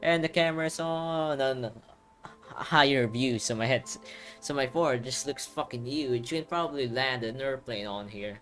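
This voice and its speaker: A man's voice: mid-range voice, normal speed, a bit bright. A man giving casual, rambling commentary on a game playthrough into a headset mic. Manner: informal, rambling asides. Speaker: game streamer. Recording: game music behind voice.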